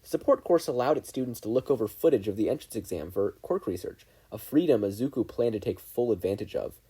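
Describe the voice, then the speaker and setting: Podfic narrator: mellow, slightly husky, very animated storytelling. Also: mid-range voice, fast talker. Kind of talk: expressive scripted fiction narration.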